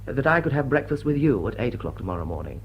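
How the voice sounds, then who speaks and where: An actor playing a smitten English aristocrat in a radio play, with an upper-class accent and an urbane, drily witty, tender manner. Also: male voice, mid-range voice, sing-song, fast talker, dull audio, slight background noise. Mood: wry confessing. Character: smitten, wry English aristocrat lord wooing a woman. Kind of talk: British radio play acting.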